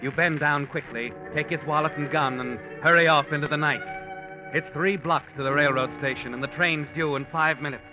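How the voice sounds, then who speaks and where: An actor as a grave thriller narrator, telling the story in the second person like old radio drama. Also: male voice, on the high side, fairly even intonation, fairly quick, lightly acted. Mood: grave, suspenseful. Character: grave thriller narrator addressing the killer directly. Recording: muffled old radio recording. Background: music.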